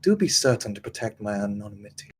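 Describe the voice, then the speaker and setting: Sly villain male actor: husky voice, formal phrasing, quietly sinister, for a dramatic script read. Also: fairly low pitch, very animated, fast talker. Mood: grave. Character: sly, smooth-talking sinister male schemer. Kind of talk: dramatic screenplay table read.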